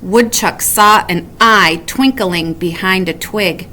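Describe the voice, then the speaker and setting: A woman reading a children's bedtime story aloud in an animated way with dramatic emphasis. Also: medium pitch, takes it slowly. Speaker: story reader.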